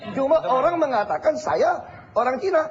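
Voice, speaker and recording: A man's voice: very high voice, very animated, moderate pace. A man speaking as a guest in a televised political debate, blunt, emphatic and jokey. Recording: dull archive TV audio with crowd and noise.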